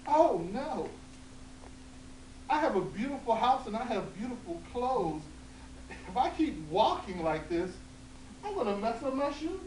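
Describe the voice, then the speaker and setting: Woman putting on a shrill, demanding old woman. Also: big pitch swings, a little slow, pauses often, darkish tone. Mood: fussy. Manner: shrill, pleading, insistent. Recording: echoey room, distant mic, hum.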